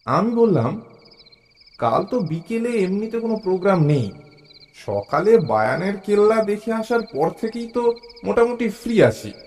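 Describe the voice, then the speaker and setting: An actor narrating a suspense audio story as a curious, mild, observant traveler, in an expressive storytelling manner. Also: male voice, sits high, moderate pace. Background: background music.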